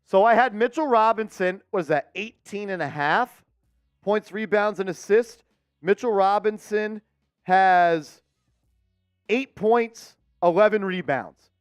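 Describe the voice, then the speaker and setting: A man hosting a live sports watch-party stream, chatting with viewers, reading the chat and calling plays excitedly. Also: high-pitched, unhurried, clear, resonant tone, soft background music.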